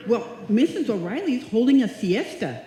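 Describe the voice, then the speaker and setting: A woman's voice: on the high side, very animated, warm tone. A woman playing a sweet, dim-witted young roommate in live old-time radio comedy, earnest and innocently literal. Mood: naive, puzzled. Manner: naive, earnest, slow-witted. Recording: echoey hall, live stage performance.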